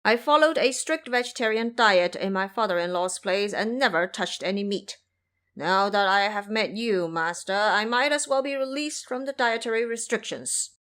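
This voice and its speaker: Woman playing a loud, eager pig monster disciple. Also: mid-range voice, animated, normal speed, close to an everyday voice. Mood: hopeful. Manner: loud, pleading, deferential. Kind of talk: audio drama character voice.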